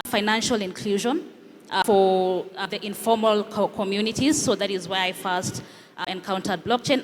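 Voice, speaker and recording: A woman answering on a conference panel, hesitant and halting, with frequent fillers. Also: medium pitch, fairly bright tone. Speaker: panelist, payments company. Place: conference stage. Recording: echoey hall with faint noise.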